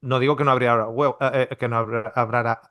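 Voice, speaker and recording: A man's voice: mid-range voice, flat delivery, brisk, a bit bright, dry recording. A middle-aged man from Spain teaching a Bible seminar in a conversational, explanatory way, full of restarts and rhetorical questions. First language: Spanish. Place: Australia.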